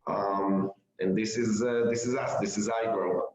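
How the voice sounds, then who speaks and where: A man with an Israeli accent, a startup founder pitching to investors, hesitant with many fillers and restarts. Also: steady tone, a little slow, darkish tone. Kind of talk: investor pitch over a call. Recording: echoey, dull lo-fi audio.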